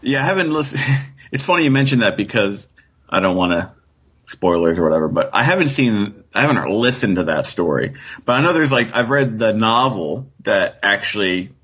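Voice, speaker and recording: A man's voice: lowish voice, lively intonation, normal speed, a bit bright, slightly rough, highs cut off. A man chatting casually as a guest, thinking aloud tentatively and often checking for agreement. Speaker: guest author. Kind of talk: casual discussion.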